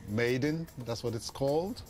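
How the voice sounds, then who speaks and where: A man from Nigeria, a playwright and director talking in an interview, halting and restarting as he goes. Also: mid-range voice. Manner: lively, halting with restarts and stumbles.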